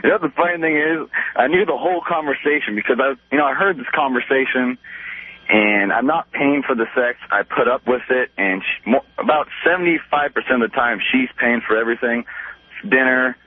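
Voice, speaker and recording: A man's voice: medium pitch, a little fast, breathy edge, dry recording. A man in his twenties calling a radio show, chatting casually in an easygoing, self-deprecating way over the phone. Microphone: telephone. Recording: narrow phone line.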